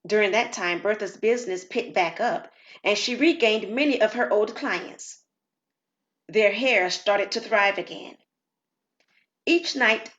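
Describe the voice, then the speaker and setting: A woman narrating a scripted short story in a slow, measured storytelling manner. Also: mid-range voice, fairly bright tone, lo-fi sound.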